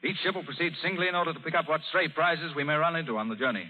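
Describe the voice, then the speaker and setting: Actor as a fast-talking, dashing pirate captain. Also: male voice, on the high side, lightly acted. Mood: decisive, commanding. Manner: brisk, wry, commanding. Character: dashing, confident, wry buccaneer captain. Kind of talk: 1930s radio drama acting. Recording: tinny, very noisy old radio recording.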